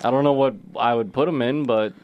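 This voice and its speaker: A man's voice: medium pitch, fairly quick, dry recording, lo-fi sound. Podcast guy cracking jokes, trips over his words, dead dry sound. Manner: joking, rambling, many restarts. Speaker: podcast co-host. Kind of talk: casual sports chat.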